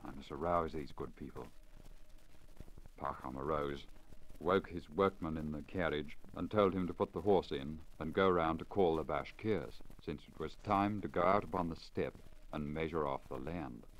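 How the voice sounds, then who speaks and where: Crackly 1950s recording, low-voiced male storyteller. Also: moderate pace. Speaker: story reader, radio broadcaster. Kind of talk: 1950s radio storytelling, scripted literary narration. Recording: crackly deteriorated 1950 lacquer disc recording. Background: surface crackle and noise.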